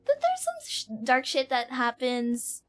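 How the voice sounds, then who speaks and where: A woman streaming a game, giving casual live reaction commentary in an animated, exaggerating manner. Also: sits high, unhurried. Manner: animated, mimics lines with exaggeration.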